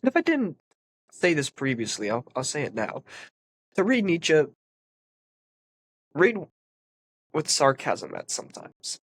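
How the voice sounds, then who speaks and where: A man's voice: fairly high pitch, wildly swooping pitch, measured pace, pauses often, a bit bright, no room sound. Congested man explaining philosophy. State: congested, sniffly. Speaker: philosophy commentator. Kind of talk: reading and analysis of a philosophy text.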